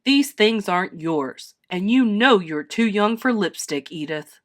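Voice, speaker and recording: A woman voicing a stern, solemn grown-up male bear from a storybook, measured and authoritative. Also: fairly low pitch, big pitch swings, fairly bright tone, exaggerated voice.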